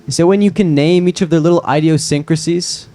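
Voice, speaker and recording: A man plays a lovesick young man in a drama table read. His voice sits a little high, and he is wry and earnest. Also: fairly quick, warm tone, close to an everyday voice. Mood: earnest, loving. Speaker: actor in a screenplay table read. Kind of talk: live table-read acting.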